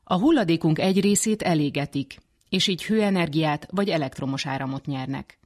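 A woman's voice: low-pitched, darkish tone. A woman presenting a scripted radio magazine as its editor and host.

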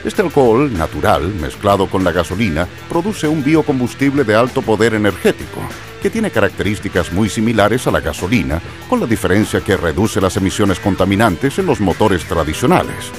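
A man's voice: fairly low pitch, big pitch swings, a little fast. A man reading scripted explanatory narration as a narrator. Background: music.

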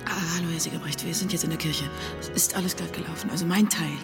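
An actress playing an uneasy, hesitant informant who pleads in a radio crime drama. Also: female voice, lowish voice, quick pace, vocal fry. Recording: long reverb, music behind.

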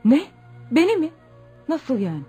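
An actress playing a bold, determined young village woman in a radio play, insistent and sharp-tongued. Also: high-pitched, sing-song, moderate pace, faint music behind. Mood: indignant. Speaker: actor. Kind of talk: radio theater acting.

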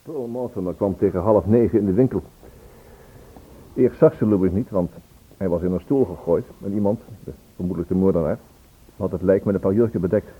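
An actor playing a probing, businesslike police inspector in a Dutch radio play, with measured questions and hesitant pauses. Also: male voice, low-pitched, slightly rough. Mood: measured, questioning. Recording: tinny band-limited old radio recording.

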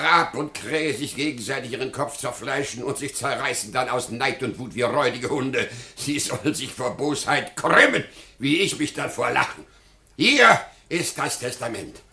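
A man's voice: medium pitch, expressive, normal speed, creaky, sharp tone, heavily acted. An actor playing a gleeful, malicious rich master in a radio drama, gloating and imperious as he relishes each word. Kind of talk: classic German radio drama acting.

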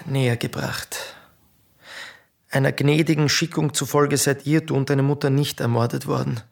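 An actor playing a sombre, ironic nephew in a radio play, speaking in measured literary phrases with a creaky voice. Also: male voice, mid-range voice, level tone, lightly acted. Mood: wry reproach. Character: sombre, ironic nephew recalling his family's past.

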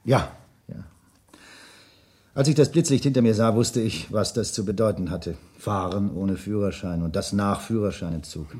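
A man's voice: lowish voice, normal speed, darkish tone, breathy edge. An actor playing a self-assured, evasive businessman in a 1970s radio crime drama, speaking formally and insistently. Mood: persuasive.

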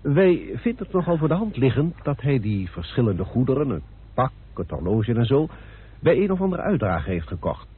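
Husky, assured police inspector. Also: male voice, mid-range voice, very animated, moderate pace. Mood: assured, businesslike. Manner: assured, formally polite. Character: confident, deferential male police inspector reporting on a case. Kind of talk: old Dutch radio drama acting. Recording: muffled tinny old radio recording.

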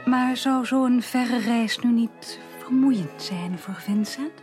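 An actress playing a melancholy, earnest young upper-class woman in a Dutch radio drama, speaking formally and softly. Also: a little high, slow pace. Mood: polite, tentative. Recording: radio drama with music underneath.

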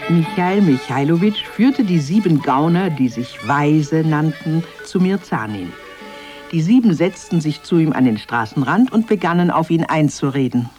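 A man's voice: a little high, animated, moderate pace, darkish tone, faint music behind. A man narrating a scripted children's radio fairy tale as its storyteller.

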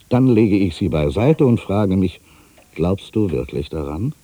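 An actor playing an aging, world-weary writer in an introspective, theatrical literary monologue, anxious and brooding, given to grandiose fancies. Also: male voice, extremely low pitch, creaky, breathy edge, full character voice. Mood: wry doubt. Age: older. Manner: theatrical, introspective, swinging between hushed and emphatic delivery.

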